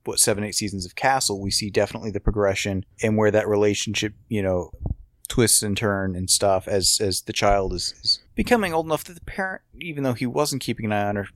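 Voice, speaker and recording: A man's voice: fairly low pitch, a little fast, no echo. A man hosting a podcast in a casual discussion style, explaining at length in a rambling way and often restarting or correcting himself. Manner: rambling explainer, frequent false starts and self-corrections. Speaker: podcast host.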